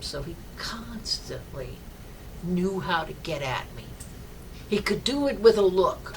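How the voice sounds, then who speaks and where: Older woman chaplain, deep and forceful, projects well; distant mic, light background noise. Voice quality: low-pitched, projecting voice. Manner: loud and forceful, rambling personal stories. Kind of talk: devotional talk.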